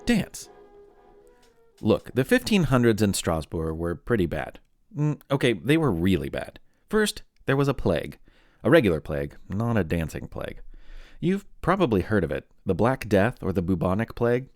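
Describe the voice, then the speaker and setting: A man narrates for young listeners with highly animated, swooping intonation. His delivery is playful and theatrical, dotted with wry asides, and faint music plays underneath. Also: moderate pace, no echo, studio quality. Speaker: podcast host. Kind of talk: scripted narration for a children's history and quiz show.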